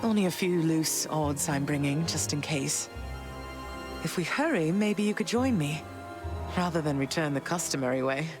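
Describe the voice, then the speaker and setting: An actress voicing a composed, gentle goddess mother in a video game, tender yet resolute, with a measured manner. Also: sits low, close to an everyday voice. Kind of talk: video game character acting. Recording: clear music behind the voice.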